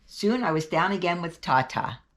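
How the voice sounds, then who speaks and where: A woman reads a children's story aloud as its host. Her voice sits low for a woman but moves up and down in a lively way. She shifts her intonation as characters speak. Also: normal speed, noisy background. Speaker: host reading picture books for children.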